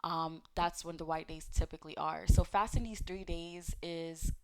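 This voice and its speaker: A woman hosting a relaxed religious teaching talk, speaking straight to her viewers in a conversational, encouraging way, with fillers and self-corrections along the way. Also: on the low side, moderate pace, clean tone. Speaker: host of an Islamic teaching channel.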